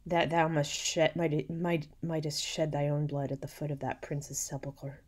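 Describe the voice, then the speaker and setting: A woman's voice: deep voice, completely flat delivery, measured pace. A woman voicing a stern priest from a Gothic story, condemning in solemn, accusing archaic speech.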